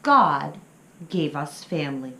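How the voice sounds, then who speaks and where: A woman's voice: deep voice, sing-song, slow, pushed and bright. A woman reading a storybook aloud expressively, addressing young listeners affectionately. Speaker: children's story reader. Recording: roomy, echoing sound.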